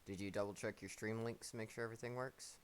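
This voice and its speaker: A man's voice: on the low side, fairly even intonation, quick pace, slight background noise. A man hosting a mobile game tournament on a live stream, talking in a laid-back, slangy, joking way. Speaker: tournament host.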